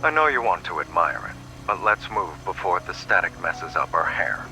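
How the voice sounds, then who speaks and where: An actor playing a cool, teasing, protective male gang leader in a romance game, unhurried and self-assured. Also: very animated, over music, poor audio. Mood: teasing, fond. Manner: unhurried, low-key, smoothly teasing.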